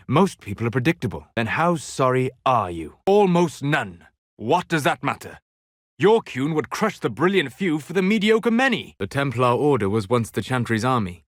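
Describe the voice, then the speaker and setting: A scholarly male elven mage from a video game, acted by a man. He is melancholy and self-possessed, choosing formal words with deliberate care. The sound is dry, with no room around it. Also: medium pitch, no room sound, close to an everyday voice. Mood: urgent, forceful. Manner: measured, deliberate, formal phrasing. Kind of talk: scripted video game character dialogue.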